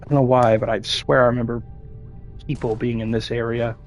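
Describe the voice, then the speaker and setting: A man's voice: medium pitch, flat delivery, brisk, soft background music. A man, a gaming YouTuber, giving casual gameplay commentary in a chatty, upbeat way, his voice still slightly scratchy from being ill. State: slightly scratchy voice after illness.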